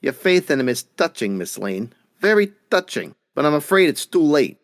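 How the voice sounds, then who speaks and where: A man's voice: a little high, big pitch swings, moderate pace, vocal fry, noisy background. A man playing a smug, menacing crime boss in an old-time radio drama, mock-polite and taunting. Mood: smug menace.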